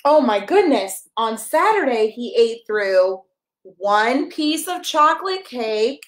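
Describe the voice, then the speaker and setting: A woman in her thirties reading a picture book aloud to a toddler, expressive and encouraging, coaxing the child along with questions. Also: fairly high pitch, takes it slowly, reverberant. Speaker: pastor reading a children's story. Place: small carpeted room at home, indoors. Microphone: camera a step or two away.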